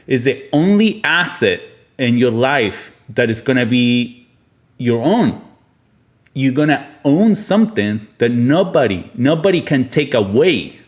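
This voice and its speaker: A man from Argentina hosts an unscripted, opinionated monologue, speaking bluntly and emphatically and often repeating himself. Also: mid-range voice. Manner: blunt, emphatic, repetitive, swearing. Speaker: podcast host, physician.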